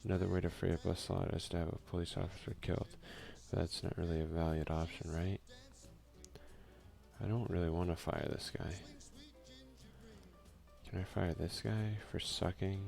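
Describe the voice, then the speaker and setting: A soft-spoken man narrates a video game in ASMR style. His voice is very low with a lot of gravelly fry. He reads game text unhurriedly and drops in casual asides, with faint music behind him. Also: level tone, normal speed, long pauses. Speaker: let's play streamer.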